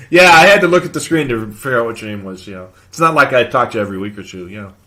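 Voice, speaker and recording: A man with a mid-pitched voice hosts a casual live hobby show. He explains technical points in a conversational, halting way, as if working through questions from viewers. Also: expressive, sharp tone.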